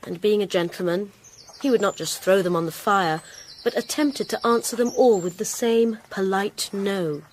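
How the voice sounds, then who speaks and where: Woman playing a genteel Victorian wife in radio drama: mid-pitched, candid yet restrained, formally articulate. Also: moderate pace, a little hiss or hum, natural voice. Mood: indignant, restrained. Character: genteel, candid married Victorian lady, reserved and principled. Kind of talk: period radio drama acting.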